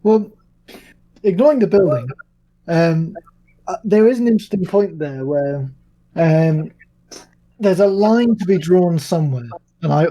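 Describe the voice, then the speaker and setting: Mellow, higher-voiced man, tentative. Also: rough recording. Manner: tentative, self-correcting. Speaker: podcast panelist. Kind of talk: casual discussion.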